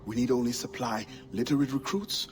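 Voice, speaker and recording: An actor playing a proud former pirate quartermaster turned freedom fighter, speaking in a firm, earnest, measured way. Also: male voice, mid-range voice, steady tone, close to an everyday voice. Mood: eager. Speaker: game character. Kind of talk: video game cutscene acting. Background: game score.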